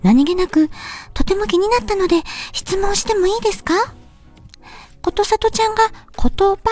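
Woman putting on a very high, sweet letter-writer lady. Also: a little mellow. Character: sweet woman fan-letter writer, gently curious, an impression. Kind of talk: reading a letter aloud in an imitated voice.

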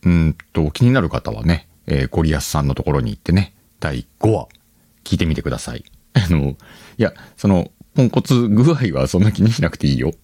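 A man's voice: extremely low pitch, big pitch swings, a little fast, warm tone, slightly rough, dry recording, studio quality. A man hosting a solo podcast, chatting casually to his listeners and thinking aloud as he goes. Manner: conversational, thinks aloud, addresses listeners directly. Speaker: podcast host. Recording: background music under the voice.

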